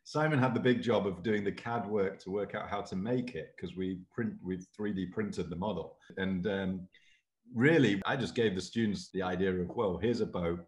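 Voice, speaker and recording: A man's voice: low-pitched, normal speed. A man in his forties, a university lecturer in naval architecture, talking casually and self-deprecatingly on a video call from his office. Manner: self-deprecating, rambling, animated with hand gestures. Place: office with bookshelves, indoors. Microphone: laptop webcam video call.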